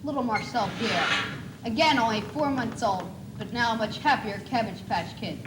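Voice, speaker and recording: A woman's voice: a little high, moderate pace, close to an everyday voice. A young teenage girl playing a mock-solemn narrator of an uncanny tale in a school play. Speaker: student actor. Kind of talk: anthology TV narrator parody. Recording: echoey noisy 1980s school TV tape.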